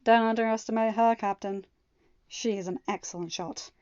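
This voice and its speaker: A woman voicing a confident, commanding male Jedi pilot, speaking firmly and loudly. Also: on the high side, steady tone, normal speed, clear, resonant tone, no room sound, muffled recording, natural voice.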